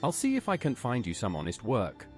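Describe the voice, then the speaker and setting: A helpful adventurer hero for a fantasy video game, in a medium pitch with very animated intonation. The lines are brief, plain and straightforward, with faint music underneath. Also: male voice, fairly quick. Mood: earnest, helpful. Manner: plain, brief questions and statements. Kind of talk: AI-voiced video game dialogue.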